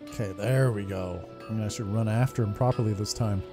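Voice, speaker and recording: A man's voice: lowish voice, fairly quick. A man in his thirties casually commentating on his own game playthrough, swearing and muttering to himself. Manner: sweary, muttering asides to self. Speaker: gaming streamer. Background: soft game music.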